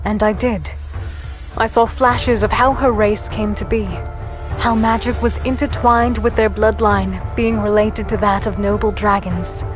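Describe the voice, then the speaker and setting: A woman's voice: medium pitch, steady tone, measured pace, music in the background, natural voice. A woman playing an earnest human heroine, narrating her story in the first person with dramatic intensity for a fantasy audio drama. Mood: awed, grave.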